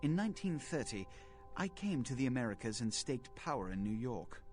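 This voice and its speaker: An actor playing a centuries-old aristocratic vampire prince in a video game, pompous and condescending, with formal, measured diction. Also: male voice, mid-range voice, very animated, lightly acted. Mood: proud, lofty. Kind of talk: video game dialogue acting. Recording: game audio with background music.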